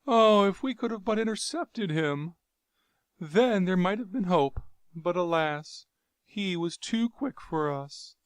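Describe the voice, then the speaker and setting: A man voicing a cringing, terrified male courtier who whimpers and pleads in a shrill voice. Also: slow, vocal fry, dry recording, heavily acted. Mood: moaning despair.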